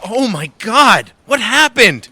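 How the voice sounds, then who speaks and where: An actor playing a nervous, flustered office assistant in a comedic audio play. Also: male voice, very high-pitched, big pitch swings, takes it slowly, bright, forceful tone, slight background noise, exaggerated voice. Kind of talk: comedic audio theatre acting.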